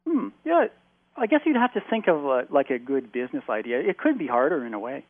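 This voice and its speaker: A man from Canada, a guest on a phone interview, rambling in relaxed stories with plenty of fillers, heard over a tinny phone line. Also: mid-range voice, a little fast, no room sound. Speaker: guest, long-term traveller.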